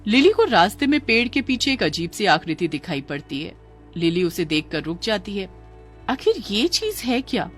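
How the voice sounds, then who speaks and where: A woman's voice: mid-range voice, sing-song, moderate pace. Female storyteller with music behind. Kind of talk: scripted narration of a children's ghost story.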